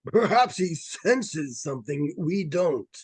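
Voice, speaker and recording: A man's voice: fairly high pitch, expressive, slow, slightly rough, no echo, heavily acted. A man playing an earnest, pedantic doctor of psychic phenomena in a 1940s-style radio drama, solemn and precise.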